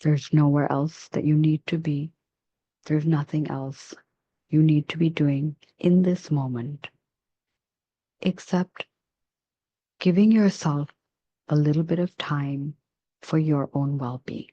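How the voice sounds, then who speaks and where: A woman leading a guided meditation in a soft, soothing, measured way with long pauses between phrases. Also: sits low, fairly even intonation, no room sound, highs cut off.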